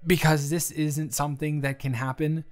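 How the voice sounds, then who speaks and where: A man's voice: fairly high pitch, level tone, normal speed. A man acting in an intimate audio roleplay as an earnest, conflicted boss, talking in a confiding, hesitant, conversational way. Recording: music behind the voice.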